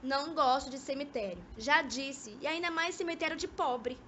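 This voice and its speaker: A woman's voice: high voice, moderate pace, pushed and bright. A young woman acting a haughty, bored, reluctant former lover in an amateur radio drama, with a Brazilian accent and petulant delivery. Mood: disdainful. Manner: petulant, disdainful. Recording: dull, noisy amateur recording.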